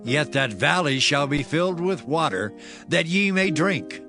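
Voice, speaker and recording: Slow, stern prophet, music behind. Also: male voice, a little high, animated. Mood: solemn, prophetic. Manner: solemn, declamatory, drawn-out phrasing. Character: stern male prophet delivering divine commands. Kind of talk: dramatized scripture acting.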